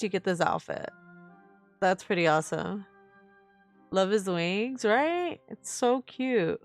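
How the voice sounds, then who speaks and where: A woman's voice: a little high, dry recording. A woman livestreaming a game with casual, gushing, enthusiastic reaction commentary. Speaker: game streamer.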